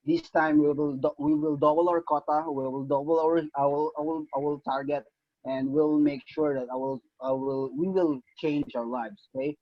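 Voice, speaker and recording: A man from the Philippines speaks earnestly on a video call, pausing often with fillers. His voice is a little high. The audio is dull and dry. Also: fairly even intonation, dry recording. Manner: hesitant, many fillers, earnest. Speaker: team leader on a call. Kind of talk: halting speech to a group.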